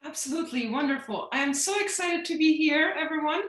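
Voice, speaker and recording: Woman panelist from Iran, upbeat and animated, video call with roomy echo. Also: high-pitched, fairly quick. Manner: enthusiastic, animated. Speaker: panelist, associate professor. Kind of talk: panel introduction.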